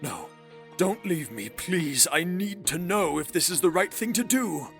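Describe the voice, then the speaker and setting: Male actor as anguished young nobleman for a game: hesitant, pleading, slow, with big swings in pitch. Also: animated, vocal fry, fairly bright tone, soft background music, exaggerated voice. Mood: distraught, pleading. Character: anguished, devout, fearful young count. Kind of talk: dramatic visual novel voice acting.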